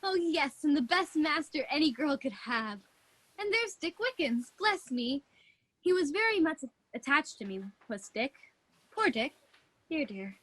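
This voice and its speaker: A woman playing a proud, defensive female miser who softens into regret, in a theatrical radio play recorded over a video call. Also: high-pitched, big pitch swings, measured pace, exaggerated voice, low-quality recording. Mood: fond.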